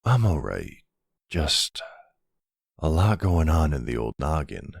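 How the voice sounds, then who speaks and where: A man playing a gentle older mafia man and devoted partner, speaking softly and reassuringly in an intimate roleplay. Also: extremely low pitch, very animated, takes it slowly, gravelly, slightly rough, no room sound, studio quality, heavily acted. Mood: soothing, vulnerable. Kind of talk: intimate ASMR boyfriend roleplay.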